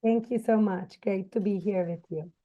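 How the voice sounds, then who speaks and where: A woman's voice: mid-range voice, fairly even intonation, normal speed, highs cut off. A woman in her forties from Croatia, a non-native speaker of English, earnestly telling her life story as a podcast guest with frequent restarts. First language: Serbo-Croatian. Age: 40s. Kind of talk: personal storytelling.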